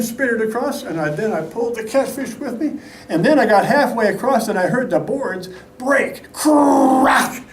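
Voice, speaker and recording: A man telling a folksy, humorous tall tale to a live audience, theatrically acting out the story as he goes. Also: sits high. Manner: folksy, animated, acts out dialogue. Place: indoor meeting room at a live event. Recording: echoey room, distant mic, background noise.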